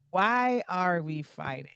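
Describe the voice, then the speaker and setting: Podcast host, effusive, repeats key phrases, medium pitch, muffled lo-fi sound. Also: vocal fry, a bit bright, clean tone, no echo. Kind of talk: faith podcast hosting. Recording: dull, dry lo-fi call audio.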